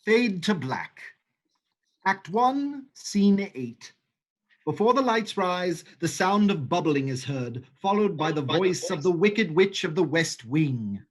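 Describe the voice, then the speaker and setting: A man reading the stage directions in a livestream script reading, steady and matter-of-fact. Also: high-pitched, slow.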